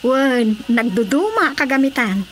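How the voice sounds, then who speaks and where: A woman's voice: high voice, big pitch swings, a little slow, fairly bright tone, a little hiss or hum, full character voice. A woman playing a chatty, practical market stallholder in an Ilocano radio drama comedy. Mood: selling.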